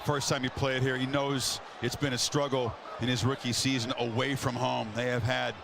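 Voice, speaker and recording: Male play-by-play announcer, gravelly voice, noisy stadium broadcast. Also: medium pitch, level tone, normal speed. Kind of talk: televised football play-by-play commentary.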